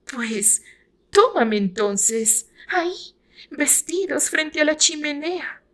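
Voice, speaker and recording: Woman acting out a seductive, pleading high-voiced female lover. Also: animated, takes it slowly, a bit bright, full character voice. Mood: seductive urging.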